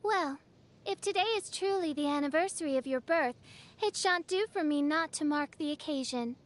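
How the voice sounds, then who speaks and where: Woman acting as young princess, very high voice, haughty, grandiose, archaic diction, anime game style. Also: moderate pace, close to an everyday voice. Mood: haughty, theatrical. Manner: pompous, archaic, melodramatic diction. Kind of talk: anime game character voice lines.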